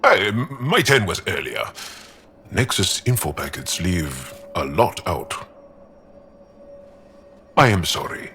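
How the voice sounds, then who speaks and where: A man's voice: low-pitched, very animated, slow, pauses often, bright, forceful tone, soft background music, heavily acted. A man playing a proud, dignified alien warrior in a video game, speaking formally and with dry deliberation. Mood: earnest. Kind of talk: video game dialogue acting.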